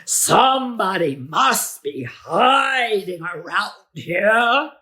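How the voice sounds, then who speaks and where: A puzzled, stern watchman from a children's storybook, played by a woman. He draws his demanding questions out slowly and loudly, with lively pitch movement. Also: exaggerated voice. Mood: suspicious.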